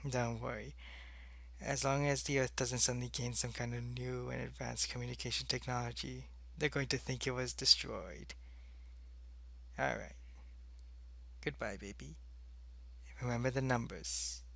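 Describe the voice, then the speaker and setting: A man voicing an earnest, devoted alien spy in an ASMR audio roleplay, speaking in a measured, halting way. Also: medium pitch, fairly even intonation, creaky, pushed and bright, dry recording. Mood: tender, reassuring.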